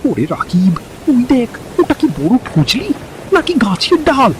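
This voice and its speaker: An actor playing a boastful, playful village uncle in a cartoon, teasing and bragging. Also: male voice, very high-pitched, huge pitch swings, unhurried, slight background noise, exaggerated voice. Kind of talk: cartoon story acting.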